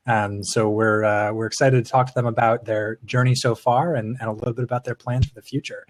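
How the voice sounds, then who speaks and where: Halting male podcast co-host, mid pitch. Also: flat delivery. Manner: halting, repeats words as he goes. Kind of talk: casual interview.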